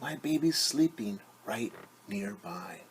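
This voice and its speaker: A male teacher reads a picture book to small children. He takes it very slowly, with big swings of pitch in sing-song refrains and hushed whispered asides. A little noise sits in the background. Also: lively intonation. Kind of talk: expressive picture book read-aloud.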